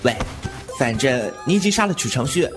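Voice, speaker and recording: A young man playing a glib, sharp-tongued, teasing martial-arts rogue in a wuxia audio drama, quick to mock. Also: medium pitch, very animated, lightly acted. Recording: music under the voice.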